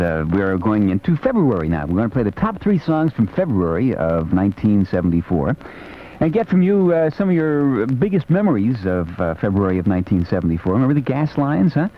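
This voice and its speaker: An American man hosting a late-night 1970s music radio show, chatting in a casual, playful, rambling way. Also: lowish voice. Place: radio studio. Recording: dull, noisy 1970s AM radio aircheck.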